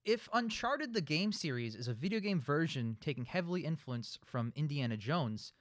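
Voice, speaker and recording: A man with a fairly high voice talks casually as a podcast co-host. His pitch moves around a lot as he argues, and he swears freely. The sound is close and dry. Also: lively intonation, moderate pace, a bit bright, no echo. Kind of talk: casual opinionated film and game discussion.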